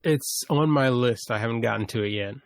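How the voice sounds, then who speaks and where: A man's voice: medium pitch, fairly quick, creaky, no room sound, studio quality. A man chatting casually as a co-host on a podcast. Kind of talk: casual chat.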